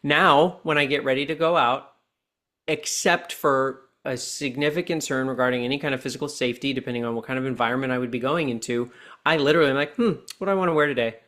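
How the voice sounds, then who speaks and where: A man in his forties, a podcast guest and coach, talking earnestly and slowing down to stress his points. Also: mid-range voice, fairly bright tone. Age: 40s. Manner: deliberate, earnest coaching tone, slows down for key points. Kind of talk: reflective podcast conversation.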